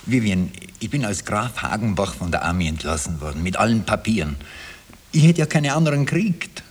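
Low, husky, pleading Austrian man. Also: big pitch swings, brisk. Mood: pleading, explaining. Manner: earnest, confessional. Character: pleading, self-justifying Austrian ex-soldier, weary and wistful. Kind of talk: 1960s radio drama acting.

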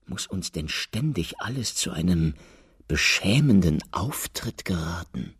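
An actor playing a bitter, self-righteous man with a husky voice, indignantly asking rhetorical questions in a radio drama. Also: low-pitched, sing-song, a little slow, over loud music. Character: bitter, indignant male Australian citizen, self-righteous and wounded.

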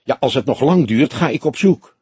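A man's voice: medium pitch, lively intonation, moderate pace, darkish tone, slightly rough, dry recording. A man voicing a young male detective sidekick, restless and questioning, in an audiobook. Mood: impatient.

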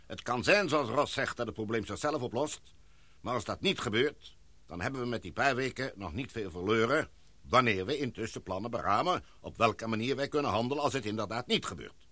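A man's voice: medium pitch, animated, bright, forceful tone, no room sound. An actor playing a cautious, authoritative male expedition leader in a radio drama, speaking formally and. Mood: formal, commanding. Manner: formal, measured, commanding. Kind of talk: Dutch radio drama acting.

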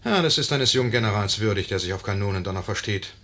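An actor playing a wry, meddling narrator in a 1950s German radio play, commenting with theatrical irony. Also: male voice, on the low side, animated, fast talker, bright, forceful tone. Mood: ironic, exasperated. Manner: theatrical, ironic, conspiratorial commentary.

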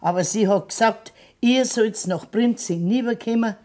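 A woman's voice: fairly high pitch, normal speed, dark tone, natural voice. An actress playing a stern, pragmatic old farm grandmother with a Bavarian accent in a dialect radio drama, blunt and scolding. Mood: matter-of-fact, insistent. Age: older.

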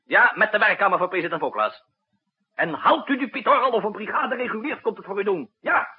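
An actor playing a dutiful, easily flustered presidential aide with formal manners in a 1960s Dutch radio drama. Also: male voice, high-pitched, very animated, a little fast, breathy edge, full character voice. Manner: dutiful, formal, stumbles over titles. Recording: muffled old radio broadcast recording.

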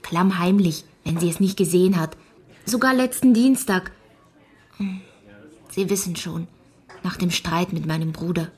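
A woman's voice: on the low side, measured pace, pauses often, a little mellow, natural voice. A young-sounding woman playing an earnest, loyal, politely stubborn teenage girl in a German radio drama.

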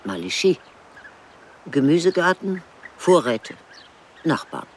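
An elderly village widow in a radio drama. She speaks in a low woman's voice, dry and plain, stubborn and protective. There is faint background noise. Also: sing-song, takes it slowly, full character voice. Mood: matter-of-fact, dry. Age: older. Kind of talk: radio drama narration and dialogue.

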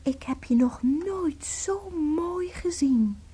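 A woman acting in a radio play as an adoring, excitable wife, cooing and theatrical. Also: sits high, takes it slowly. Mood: awed, adoring. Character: adoring, gushing wife, tender and excitable, babying her tiny husband.

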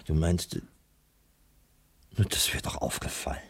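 A man's voice: slow pace, lightly acted. An actor playing a skeptical, money-minded male private detective, sardonic and impatient, in a German radio crime drama. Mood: skeptical, puzzled.

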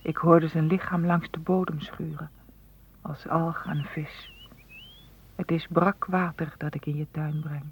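A sorrowful water spirit of a pond, fairly high, even pitch, solemn, radio drama. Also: male voice, measured pace. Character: sorrowful talking pond, grave bearer of bad news. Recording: noisy old radio play recording.

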